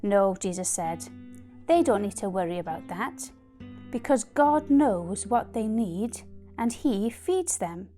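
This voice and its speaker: Female reader for kids' Bible tale, warm dark timbre, unhurried, faint music behind. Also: mid-range voice, animated. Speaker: story reader. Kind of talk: children's Bible storytelling.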